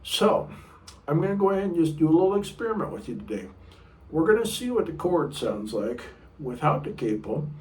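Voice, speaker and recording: A middle-aged man with a low baritone voice teaching a casual guitar lesson, chatty and rambling, sometimes singing short notes. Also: big pitch swings, fairly quick. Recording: room mic a bit far off, guitar playing.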